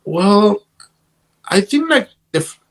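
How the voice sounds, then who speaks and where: A man from Guatemala with a Guatemalan Spanish accent tells stories casually as an interview guest, in a halting way, repeating words as he goes. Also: high-pitched, sing-song, a bit bright. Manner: halting, repeats words, peppered with "you know". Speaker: interview guest, guitarist and music teacher. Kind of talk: casual conversational storytelling.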